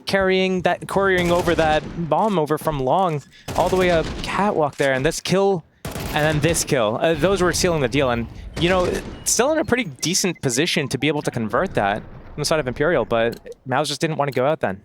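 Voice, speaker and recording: A man's voice: a little high, expressive, a little fast, noisy background. A man giving easygoing analysis during live commentary on an esports match. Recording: faint gunfire and clicks behind.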